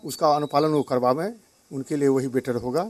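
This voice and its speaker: A man's voice: medium pitch, steady tone, a little fast, a little mellow, no room sound. A man giving a short political comment to the press as a politician. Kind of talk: political soundbite.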